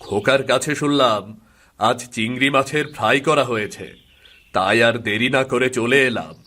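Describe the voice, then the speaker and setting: A man's voice: mid-range voice, normal speed, sharp tone. A man voicing an easygoing, indulgent village father and husband in a moral-story cartoon, casual and dismissive of worries. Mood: cheerful.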